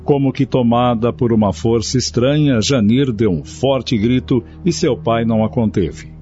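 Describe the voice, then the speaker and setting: A man narrates a radio drama in a mellow, slightly raspy voice. The recording is a little dull, with faint music underneath. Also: medium pitch, moderate pace.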